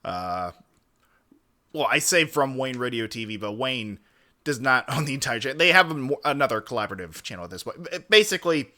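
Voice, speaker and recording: Bright-voiced man hosting a livestream. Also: medium pitch, lively intonation, a little fast. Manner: wordy, roundabout, mildly formal turns of phrase. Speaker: streamer hosting a reaction stream. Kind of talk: casual livestream commentary.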